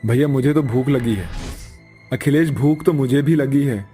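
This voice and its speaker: A man's voice: mid-range voice, normal speed, dark tone, voice pushed up, close to an everyday voice. A man voicing a poor, earnest young husband in a cartoon family story. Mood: hungry, plaintive. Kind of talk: cartoon dialogue acting. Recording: music behind the voice.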